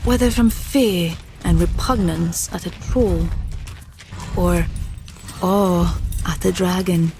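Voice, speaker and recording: A woman's voice: lowish voice, fairly even intonation, takes it slowly, background noise. A woman narrator reading a scripted fantasy story.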